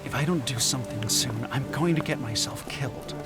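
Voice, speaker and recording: An actor playing a troubled anthropologist in an audio drama, speaking haltingly, sincere and a little wry. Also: male voice, mid-range voice, loud music behind the voice, lightly acted. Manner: hesitant, halting.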